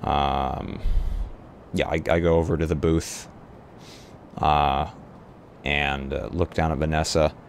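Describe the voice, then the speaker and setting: A man playing a tabletop roleplay game, improvising casually with hesitant pauses mid-sentence. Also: rumbling low, level tone, gravelly. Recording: noisy live stream.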